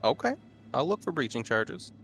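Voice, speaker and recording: A man's voice: mid-range voice, very animated, normal speed, vocal fry, a bit bright. A man chatting casually as a player in a tabletop game. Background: background music.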